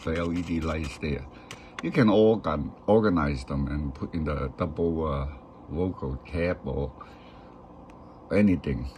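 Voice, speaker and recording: A man with a very deep voice walks through a hands-on installation step by step. He speaks slowly and repeats short phrases to make each point. There is some steady noise behind him. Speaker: instructor demonstrating an electronics installation. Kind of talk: step-by-step hands-on tutorial, unscripted.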